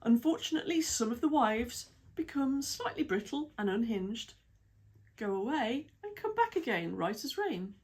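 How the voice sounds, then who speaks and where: Breathy British woman reading an essay. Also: a little high, rough recording. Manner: measured, even reading with lists of titles and dates. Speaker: reader of an article. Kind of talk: read-aloud essay narration.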